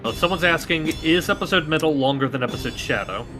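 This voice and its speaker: A man's voice: a little high, expressive, quick pace, music in the background. A man appearing as a voice actor guest on a game stream, chatting casually and cracking jokes. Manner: upbeat, joking, with many fillers. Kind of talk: casual live-stream chat.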